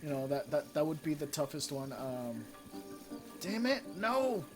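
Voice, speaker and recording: A man's voice: fairly high pitch, sing-song, a little slow. A man from Mexico, a Spanish speaker, chatting casually with viewers and reading out their comments while live-streaming a game. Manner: chatty, reads out viewer comments, frequent fillers and restarts. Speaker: live streamer and channel moderator. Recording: game music playing loudly underneath. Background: game music.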